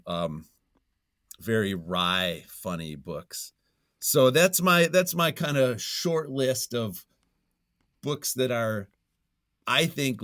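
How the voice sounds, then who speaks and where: A man's voice: fairly low pitch, a bit bright, no echo, studio quality. A man speaking as an interview guest in casual conversation, searching for his words and chuckling now and then. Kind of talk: casual book-recommendation chat.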